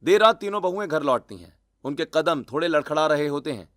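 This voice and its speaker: A man's voice: on the high side, lively intonation, fairly quick, a bit bright. A man narrating a scripted cartoon story.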